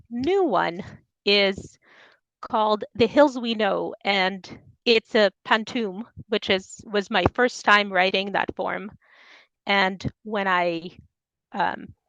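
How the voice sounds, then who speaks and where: Woman poet from soviet ukraine at a reading, mid pitch, really dry sound. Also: a bit bright, no room sound, rough recording. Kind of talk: poetry reading with informal introductions.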